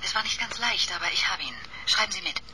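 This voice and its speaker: A woman playing a reserved detective's client on the telephone in a radio drama, hushed and formal. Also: mid-range voice, expressive, fast, background noise, close to an everyday voice.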